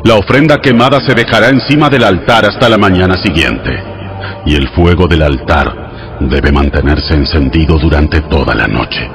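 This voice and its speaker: An actor playing a solemn, commanding God who gives laws in a measured, instructive way in a dramatized audio Bible. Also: male voice, rumbling low, fairly bright tone. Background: music.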